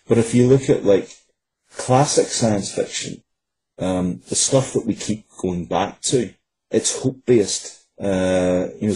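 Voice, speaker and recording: A man's voice: fairly low pitch, dark tone, husky, low-quality recording. A man answering as an interview guest, conversational and earnest. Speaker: interview guest, science fiction writer. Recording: faint background noise.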